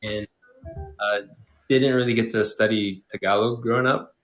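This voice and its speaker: A man in his twenties from Hawaii, a guest chatting casually in a livestream interview, relaxed with many fillers. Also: on the low side, normal speed, faint music behind. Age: 20s.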